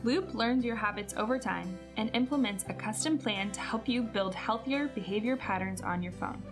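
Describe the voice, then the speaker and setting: A woman reading scripted narration for a product promo video. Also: mid-range voice. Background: guitar music.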